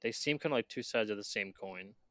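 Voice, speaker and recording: A young man talks calmly and with measure as co-host of a casual podcast. His voice is a bit low, and the sound is dry and close. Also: brisk, no room sound. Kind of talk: casual chat.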